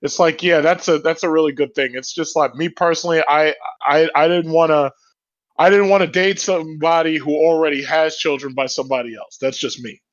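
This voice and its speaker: A man hosts a casual podcast, his voice sitting a little higher than most men's. He is agreeable and keeps affirming what his guest says. The sound is dull and lo-fi. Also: fairly even intonation, fairly quick. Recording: dull lo-fi audio.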